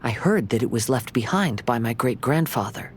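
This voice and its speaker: A man playing a gentle, thoughtful young swordsman in a video game, speaking in a measured, courteous way. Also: mid-range voice, lively intonation, a little hiss or hum, lightly acted. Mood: reflective.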